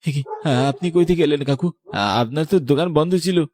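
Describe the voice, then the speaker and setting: A man's voice: on the high side, normal speed, a little mellow, dry recording, lightly acted. A man voicing a frightened young man out late at night in a horror cartoon, nervous, questioning and stammering. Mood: panicked.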